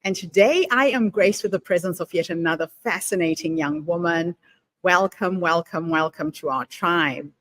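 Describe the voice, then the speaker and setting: A woman's voice: on the low side, normal speed, a bit bright, slight background noise. A woman hosting a podcast, giving an upbeat, sing-song scripted welcome and introducing her guest. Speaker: podcast host.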